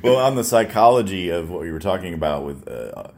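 A man with an American accent and a fairly low voice hosts a radio interview. He talks casually and conversationally, like a host chatting with a guest. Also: big pitch swings, a little fast, a little mellow. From Buffalo, New York, United States.

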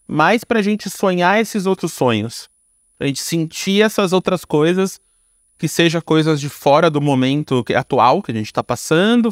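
Higher-pitched Brazilian man, casual and reflective. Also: dry recording, clean studio sound. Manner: informal, slangy, explains through examples. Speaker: podcast guest, storyteller and creator. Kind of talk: casual, reflective interview talk.

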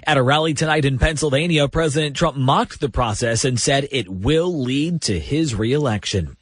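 A man's voice: mid-range voice, normal speed, slightly rough, no echo, studio quality. A man reading scripted radio news as an anchor.

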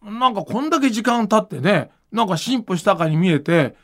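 A man's voice: high voice, animated, fairly quick, fairly bright tone. A man hosting a podcast, chatting casually and explaining his thoughts in an informal, musing way. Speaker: podcast host and manga creator.

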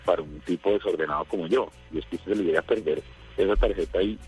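A man speaks into a radio show over a phone line. His voice is very deep and his pace rapid. He talks informally, restarting sentences as he goes. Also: darkish tone, slightly rough, soft background music. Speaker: regular radio contributor.

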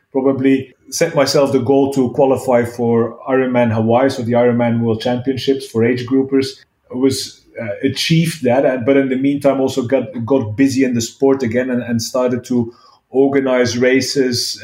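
A man's voice: mid-range voice, dark tone. A middle-aged man from Belgium, a guest in a podcast interview, talking with many restarts and fillers. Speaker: guest, sports event founder. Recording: roomy echo.

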